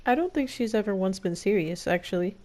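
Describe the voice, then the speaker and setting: A woman's voice: mid-range voice, expressive, fairly quick, a little mellow. A woman giving casual gameplay commentary, playful and slangy, reacting in short quips. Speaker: gameplay streamer. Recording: faint game music behind.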